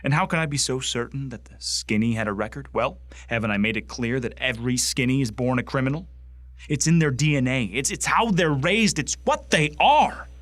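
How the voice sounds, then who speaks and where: An actor playing a bitter, bigoted young man who wants to join a space marine corps, with an intense, indignant delivery and heavy pauses in a radio drama monologue. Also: medium pitch, a bit bright, no room sound, natural voice. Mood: angry, grieving. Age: young adult.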